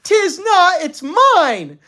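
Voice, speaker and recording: A storybook mother throwing a childish tantrum. The voice leaps very high and loud, whiny and shrieking, stretching words out in frantic complaint. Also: sing-song, extremely slow, heavily acted. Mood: indignant.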